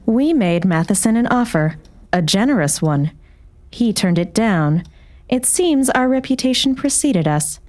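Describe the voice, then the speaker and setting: A woman playing a cool, composed doctor in an audiobook drama, speaking smoothly in a formal, measured, controlled way. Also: mid-range voice, expressive, darkish tone, natural voice. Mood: measured, guarded. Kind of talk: audiobook character acting.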